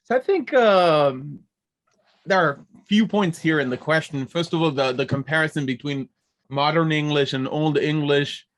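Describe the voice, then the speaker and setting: Man, Israeli accent, middle-aged, rambling with fillers, fairly high voice. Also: moderate pace. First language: Hebrew. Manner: talkative, digressive, many fillers and restarts. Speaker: guest, biblical languages professor. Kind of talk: conversational interview.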